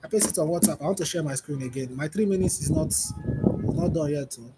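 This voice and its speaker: A man, demonstrating software on a webinar panel, loosely repeating himself as he goes. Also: fairly high pitch, fast. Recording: loud background noise.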